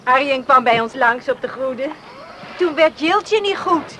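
A high-voiced, obliging young farm daughter, plain in the way she talks. The recording is that of an old radio play, noticeably noisy. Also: animated, a little slow, pushed and bright, full character voice. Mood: matter-of-fact. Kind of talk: radio drama acting.

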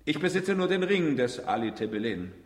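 Proud count in an echoing hall. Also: male voice, fairly high pitch, big pitch swings, moderate pace. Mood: defensive. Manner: formal, declamatory, emphatic. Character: proud accused military count, solemn and defensive. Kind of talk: radio drama acting. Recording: echoey hall with long reverb.

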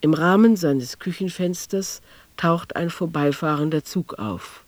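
A man's voice: a little high, unhurried, no room sound, lightly acted. A man performing as a sober, precise narrator in a literary radio play. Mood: sober, observant.

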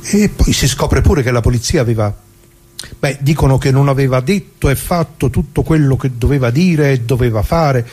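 Radio play: male actor for talkative old pensioner, husky voice, good-natured yet indignant, folksy rambling delivery. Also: medium pitch, animated, normal speed, darkish tone. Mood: sardonic. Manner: colloquial, rambling, addresses listeners, repeats himself. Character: chatty, good-natured old retired man, working-class, indignant. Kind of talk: dramatic monologue in radio play.

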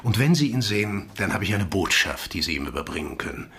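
A man playing the menacing chief of a secretive agency in a radio play, with a husky, breathy voice and a measured, politely condescending manner. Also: sits low, sing-song, fairly bright tone, full character voice. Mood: quietly threatening.

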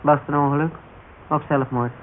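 An actor playing a shrewd, dryly ironic private investigator in a radio drama, casual and teasing, with speech. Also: male voice, a little high, fairly even intonation, a little fast, lightly acted. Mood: dry, probing. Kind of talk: Dutch radio drama dialogue. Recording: dull, hissy old radio recording.

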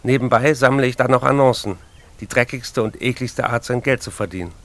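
A man's voice: mid-range voice, a little fast, a bit bright, natural voice. An actor playing a besotted, restless writer in a radio play, reading his letters aloud with dry, precise, literary phrasing. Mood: dry, wry.

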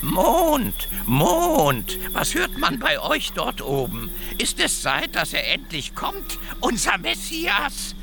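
An actor playing a theatrical storyteller in a radio play, telling a whimsical folk tale deliberately and dwelling on repeated words. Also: male voice, very high voice, wildly swooping pitch, creaky, music in the background, full character voice. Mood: pleading.

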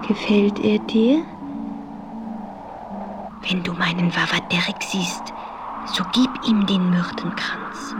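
Very slow, entranced madwoman. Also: on the low side, music in the background. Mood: dreamy, secretive. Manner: halting, entranced, breaking into whispers. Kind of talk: 1970s German audio drama acting.

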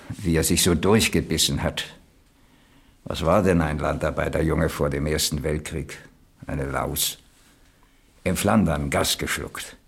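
An actor playing a plain-spoken boiler stoker who reminisces in a folksy, reflective way in a radio crime drama. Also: male voice, very deep voice, slightly rough, heavily acted. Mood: reminiscing. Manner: folksy, ruminative storytelling.

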